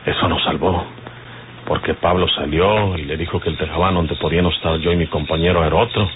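An actor playing a wary outlaw on the run from police, with a Mexican accent, in the style of an old radio serial. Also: male voice, deep voice, fairly even intonation, a little fast, lightly acted. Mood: tense, explaining. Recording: noisy, tinny old radio serial recording.

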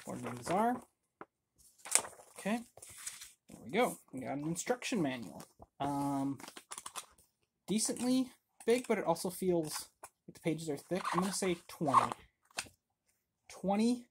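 A man's voice: a little high, big pitch swings, a little slow, long pauses, noisy background. A man in his thirties hosting a casual board game unboxing at home on a webcam, rambling and self-deprecating with lots of fillers and restarts. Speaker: board game channel host. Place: home room with game shelves.

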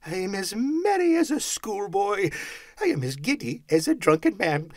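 A man's voice: fairly high pitch, huge pitch swings, moderate pace, husky, dry recording, studio quality, pitched above the performer's own voice, heavily acted. A man voicing an overjoyed, reformed old miser from a storybook, exclamatory and effusive, whooping with delight. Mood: giddy joy.

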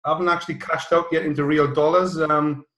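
Echoey, even-toned middle-aged British man. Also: a little high, a little fast. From South Wales, UK. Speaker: host of an online presentation call. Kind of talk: informal webinar introduction. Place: small indoor office room, on a video call. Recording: roomy, echoey video call.

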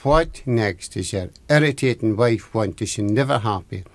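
A man's voice: fairly low pitch, expressive, moderate pace, dry recording. A man voicing the old man of the sea, a magical wish-granting fish in a folktale, with a Scottish accent and emphatic delivery. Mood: weary, commanding. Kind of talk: oral folktale storytelling.

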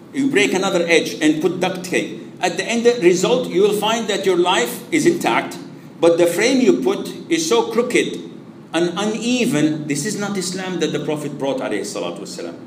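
Male preacher, conversational lecture, Arabic phrases woven in, roomy reverb, faint hiss. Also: sits high. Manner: conversational, asks and answers own questions; sprinkles Arabic phrases into English. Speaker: Islamic preacher.

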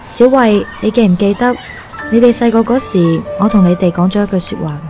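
Woman playing a calm, mellow Cantonese nun. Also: medium pitch, soft background music, close to an everyday voice. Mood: gentle. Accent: Hong Kong Cantonese. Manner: measured, gently philosophical advice. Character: calm, kindly nun counselling a grown orphan. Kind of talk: radio drama acting.

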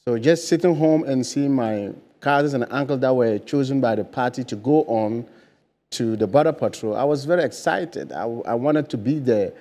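A Ghanaian man in his forties talks earnestly in a mid-range voice, pausing on fillers and restarting his sentences. He is a guest on a lapel mic in a bright TV studio. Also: mid-range voice. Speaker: political candidate guest. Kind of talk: studio interview answers.

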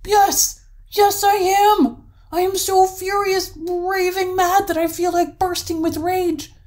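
A woman voicing a furious, blustering male troll from a storybook, with shouted, drawn-out emphatic delivery. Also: lowish voice, expressive, close to an everyday voice. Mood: raging.

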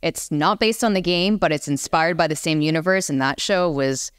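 A woman's voice: low voice, normal speed, clean tone, dry recording, studio quality. A woman speaking frankly and casually as a panelist in a podcast discussion. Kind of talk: casual panel discussion about films and games.

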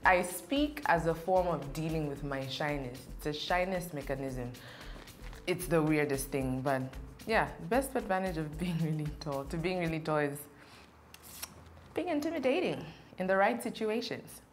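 A woman's voice: low voice, expressive, normal speed, soft background music. A young woman, answering interview questions in a chatty, playful way in an indoor studio. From Zambia. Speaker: interview guest. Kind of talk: casual Q&A interview.

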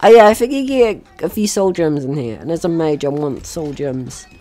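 Animated man doing playful game commentary. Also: fairly high pitch, normal speed, faint music behind. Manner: playful, swearing, talks to game characters. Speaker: gaming host. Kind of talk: casual let's-play commentary.

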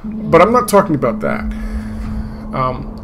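A man explaining his point in conversation, in halting phrases broken by drawn-out fillers. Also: on the high side, huge pitch swings, fairly bright tone, soft background music. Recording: clearly noisy background.

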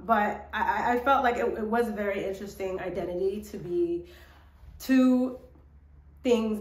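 Lower-voiced young woman, echoey room. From born in Ethiopia to South Sudanese parents, grew up in Minnesota, United States. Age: young adult. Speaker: vlogger, model and actress. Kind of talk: casual personal vlog to camera. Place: small indoor room by a window.

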